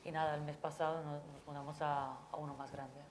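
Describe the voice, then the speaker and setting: A woman's voice: low voice, level tone, a little fast. A woman chef from Abu Dhabi of Palestinian-Syrian descent, talking in a casual panel, hesitant and full of fillers. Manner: hesitant, many fillers and restarts. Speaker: chef, restaurant owner. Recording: room with clear background noise.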